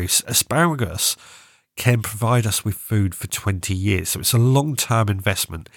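A man's voice: fairly low pitch, no room sound, studio quality. A man hosting a gardening podcast, congested from a cold and a sore throat, chatting casually and often losing his thread. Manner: rambling, self-correcting, chatty. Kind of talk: casual solo podcast chat.

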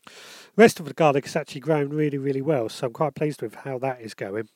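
A man's voice: medium pitch, fast talker, a little mellow, no room sound. A man with a bunged-up cold, hosting a casual solo podcast and rambling with lots of ums and self-corrections. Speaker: podcast host.

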